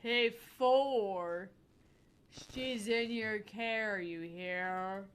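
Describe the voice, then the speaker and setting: A woman voicing a concerned, protective friend in a visual novel, caring, measured and gently insistent. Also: mid-range voice, a little hiss or hum. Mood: concerned, firm.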